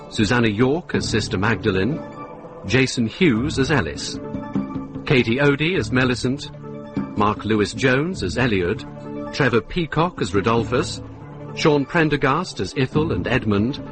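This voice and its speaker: A man's voice: medium pitch, lively intonation. Formal radio announcer, man, over music. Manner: formal, measured.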